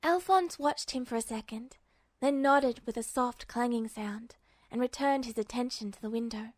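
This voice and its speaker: A woman's voice: on the high side, no room sound, studio quality. A woman reading a story aloud as a scripted fiction narrator. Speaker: audiobook narrator.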